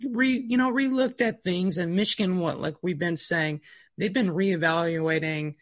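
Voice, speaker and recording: A man's voice: high-pitched, normal speed, no echo, clean studio sound. A man with a Midwestern accent, a recruiting reporter chatting casually, with lots of "you know" fillers. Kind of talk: casual sports talk.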